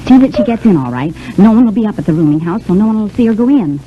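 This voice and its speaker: An actress playing a quick-thinking, bossy, protective showgirl friend in a vintage radio soap, talking briskly and chattily. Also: mid-range voice, expressive, lightly acted. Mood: bossy, urging. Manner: brisk, chatty, takes charge. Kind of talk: 1930s-40s radio soap opera acting. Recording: muffled, hissy vintage radio recording.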